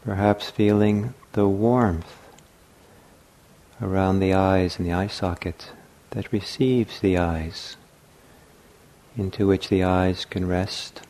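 A man leading a guided meditation as a teacher, speaking gently and unhurriedly in short phrases with long pauses. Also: low-pitched, steady tone.

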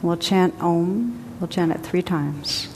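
Slow, soft-spoken woman leading meditation. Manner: slow, gentle, spacious pauses. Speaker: meditation teacher. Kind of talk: guided meditation. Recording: long hall reverb, faint rumble.

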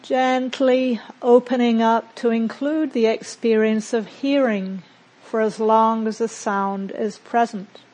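A woman leads a guided meditation in a fairly high voice. Her delivery is very slow and gentle, with long pauses left between short phrases. She speaks as a teacher giving calm, unhurried instruction. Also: low-quality recording.

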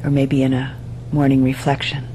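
A woman teaching meditation, speaking in measured, deliberate phrases with frequent pauses as she guides a reflection. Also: rumbling low, level tone, warm tone, slight background noise, poor audio. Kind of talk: guided meditation, dharma talk.

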